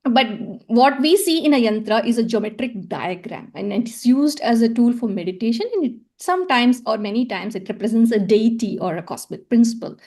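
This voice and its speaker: Woman presenter, fairly high pitch, hesitant and repetitive, lecture tone. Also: animated, brisk, hardly any pauses, a little mellow. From South India. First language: Tamil. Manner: hesitant, many fillers and repeated words. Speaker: conference presenter. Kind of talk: academic presentation with slides.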